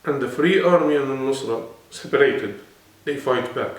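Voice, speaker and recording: A man's voice: medium pitch, moderate pace. A man with an Arabic accent, speaking non-native English and explaining earnestly as a guest in a serious interview. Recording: echoey room, mic at a distance.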